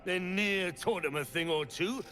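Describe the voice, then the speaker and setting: A man's voice: sits high, measured pace, pushed and bright, slight background noise. A man playing a boastful, cruel dwarf clansman in a fantasy game, with a swaggering, menacing manner.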